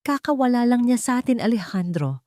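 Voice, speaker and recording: A woman's voice: fairly high pitch, big pitch swings, brisk, dark tone, no room sound, clean studio sound, voice pushed up. A woman voicing a grieving, betrayed wife in an audio story, trembling and pleading. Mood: shaken, pleading.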